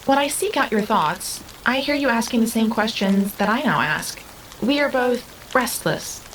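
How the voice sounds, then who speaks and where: Formal, literal young otherworldly being. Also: female voice, a little high, lively intonation, unhurried, slight background noise, poor audio. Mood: earnest, searching. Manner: formal, stilted, precise diction. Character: young otherworldly female being, earnest, literal, curious, restless. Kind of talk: audio drama acting.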